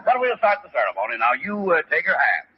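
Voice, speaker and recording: An actor playing a silly, lecherous old justice of the peace with a quavering voice in a radio comedy. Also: male voice, fairly high pitch, huge pitch swings, fast. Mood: amused, doddering. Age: older. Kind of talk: 1940s radio comedy acting. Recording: muffled old radio, background hiss.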